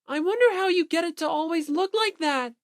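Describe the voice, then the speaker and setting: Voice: extremely high pitch, a little fast, dry recording, clean studio sound, pitched above the performer's own voice. A performer voicing a shy, timid, easily hurt teenage boy in an audiobook, hesitant and apologetic. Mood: shy admiring. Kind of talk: audiobook character acting.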